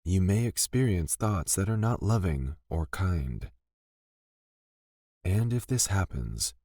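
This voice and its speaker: Male meditation guide, low-pitched, gentle and unhurried delivery of scripted lines, very dry close sound. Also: slightly rough, no room sound. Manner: gentle, soothing, deliberate with pauses between phrases.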